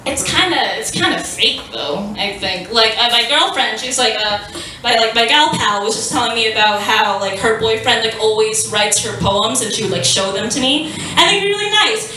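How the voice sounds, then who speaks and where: A young woman doing stand-up in a small venue, her high, bright voice swinging around a lot as she rambles with self-deprecating asides. The mic sits a bit away from her, and the room rings with heavy echo. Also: expressive, normal speed, slight background noise. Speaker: stand-up comedian. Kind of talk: stand-up comedy set. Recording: echoey room, mic from a distance.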